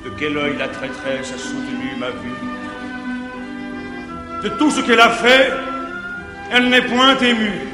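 An actor playing a jealous, tormented middle-aged guardian, declaiming classical French verse comedy in a grandiose, anguished manner on stage. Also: male voice, on the high side, flat delivery, a little slow, long pauses, over music, natural voice. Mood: brooding, agitated. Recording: 1950s theatre recording, echoey hall, faint hiss.

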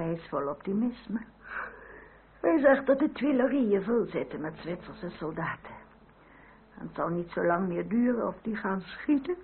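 An actress playing a haughty, middle-aged French royalist noblewoman in a Dutch radio drama, speaking in a formal, imperious, genteel manner. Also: mid-range voice. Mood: worried, gossiping. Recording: tinny, band-limited, hissy old radio recording.

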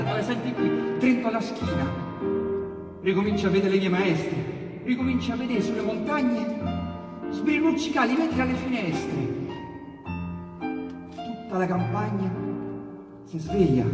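A man with a Roman accent theatrically reciting dialect sonnets, swinging between hushed and loud passages. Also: high-pitched. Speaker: poetry reciter. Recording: echoey hall, distant mic, piano.

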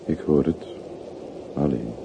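An actor playing a gentle, melancholy old railway porter in a radio play, speaking in measured, earnest phrases. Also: male voice, rumbling low, gravelly, muffled recording, full character voice. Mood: sorrowful. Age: older. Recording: slightly noisy old radio recording.

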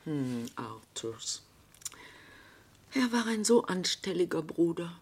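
Anxious, husky young woman. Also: lowish voice, big pitch swings, slow, darkish tone, heavily acted. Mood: mournful. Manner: hesitant, troubled, theatrical. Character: anxious, conscience-stricken young woman grieving her brother. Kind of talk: radio play acting.

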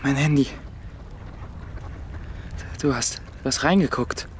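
An actor playing an uneasy, guarded sixteen-year-old son in a radio play, speaking haltingly. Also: male voice, medium pitch, a little hiss or hum, lightly acted. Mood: suspicious, nervous. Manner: halting, stammering.

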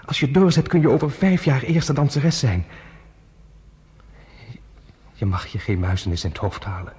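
A gentle fatherly judge. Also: mid-range voice, big pitch swings, normal speed, husky, big-room sound. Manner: soft, fatherly and reassuring. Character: kind older male Danish judge, gentle foster father. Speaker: radio drama actor. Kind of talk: radio play acting.

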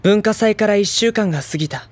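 Soft, reflective schoolboy narrator, played by an actor. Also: mid-range voice, brisk, a little hiss or hum, close to an everyday voice. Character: gentle, reflective teenage schoolboy narrating his club life. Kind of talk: audio drama narration and dialogue.

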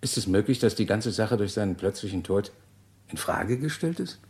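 A man's voice: on the low side, lively intonation, moderate pace, a little mellow. A man playing a courteous but insistent police inspector in a German radio crime drama, questioning in a clipped, authoritative way. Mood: measured, probing.

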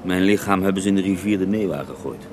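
A man playing the ghost of a murdered Russian mystic monk in a radio play, recounting his tale in an unhurried, wry, theatrical way. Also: low-pitched, lightly acted. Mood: wry, courtly. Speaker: interviewed storyteller in a radio play. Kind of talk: radio drama acting, intimate first-person recounting.

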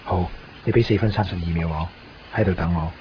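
An actor playing a cool, businesslike fixer for hire in a radio drama, terse and precise. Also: male voice, low voice, steady tone, fairly quick, natural voice. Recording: muffled 1990s radio drama under music.

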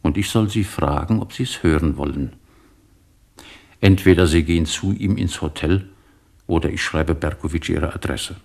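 A sentimental immigrant shopkeeper in a radio drama. His low voice is gravelly, and he talks on effusively, pressing each point with emphatic pauses. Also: darkish tone. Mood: earnest, urging. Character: talkative sentimental immigrant ice cream shopkeeper. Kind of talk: German radio play acting.

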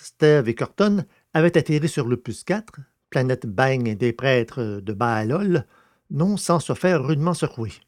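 A man's voice: mid-range voice, a little mellow, no room sound. Man presenting a scripted podcast, dry sound. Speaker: podcast host and narrator. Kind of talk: scripted podcast presentation and audio novel narration.

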